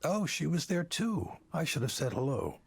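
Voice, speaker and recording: Casting: self-assured older executive in a visual novel, suggestive and persuasive, with creaky, animated delivery. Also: male voice, on the high side, normal speed, dry recording, exaggerated voice. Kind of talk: visual novel game voice acting.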